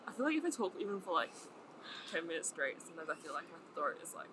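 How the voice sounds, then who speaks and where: A woman's voice: on the low side, very animated, fast talker, a bit bright, slight background noise. A young woman co-hosting a podcast in casual slangy chat, laughing often. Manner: giggly, laughs often, loose slangy talk. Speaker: podcast co-host.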